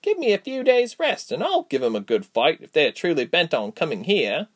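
A man voicing a warm, spirited young woman adventurer with an eager, expressive delivery in a fantasy story. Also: a little high, normal speed, dry recording, clean studio sound, pitched above the performer's own voice. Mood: quietly confident.

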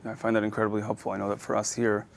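Gravelly man, halting and searching. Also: lowish voice, completely flat delivery, slight background noise. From Israel. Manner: halting, thinks aloud with many fillers and restarts. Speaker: podcast host. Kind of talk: reflective conversational interview.

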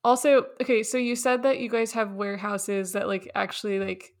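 A woman's voice: mid-range voice, level tone, normal speed. A woman hosting a podcast, chatty and casual, filling her talk with "like" and laughing easily. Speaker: podcast host, company founder. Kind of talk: casual chat.

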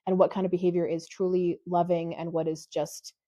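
A woman who teaches high school, talking casually about her classes in a mellow voice. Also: little pitch movement, a little fast, dry recording, clean studio sound.

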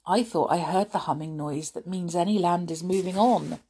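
A woman reading aloud voices a kindly male tree dweller, close to her own reading voice, slow and thoughtful. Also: fairly low pitch, warm tone, husky. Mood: troubled.

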